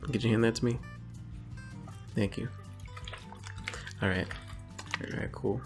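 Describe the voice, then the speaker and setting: A low-pitched man in a casual co-host chat, relaxed and jokey in manner. He moves between loose talk and reading aloud, with soft guitar music behind him. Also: measured pace.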